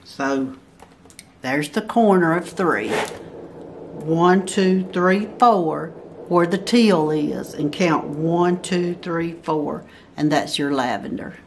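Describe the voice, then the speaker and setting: A woman talks slowly and patiently through a craft pattern, counting squares aloud one by one. Her voice sits on the lower side. A faint background hiss stays under her speech. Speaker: barn quilt pattern instructor. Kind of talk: step-by-step craft tutorial. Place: at a table over a paper pattern, indoors.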